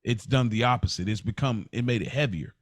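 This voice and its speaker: A man's voice: mid-range voice, fairly quick, no echo. American man reflecting aloud, dry close sound. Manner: reflective, repeats and rephrases as he explains. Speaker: podcast co-host. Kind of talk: casual podcast conversation.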